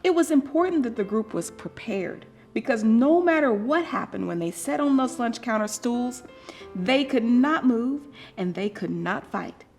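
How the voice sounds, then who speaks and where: A woman with a slightly high voice reads a story for children. Her delivery is expressive and deliberate, leaning on key words and pausing for effect. Music plays behind her. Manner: dramatic, deliberate emphasis with theatrical pauses. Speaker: storyteller reading a picture book aloud.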